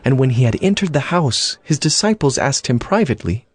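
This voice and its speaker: A man's voice: mid-range voice, big pitch swings, brisk, breathy edge, no room sound, clean studio sound. A man narrating scripture from a script as the storyteller of a dramatized audio reading.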